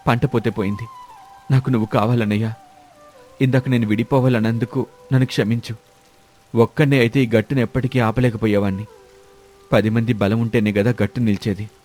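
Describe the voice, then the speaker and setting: A man's voice: fairly low pitch, dark tone, close to an everyday voice. A man as an earnest, restless younger brother. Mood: remorseful, emotional. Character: restless ambitious younger brother in a village family. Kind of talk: moral story character dialogue.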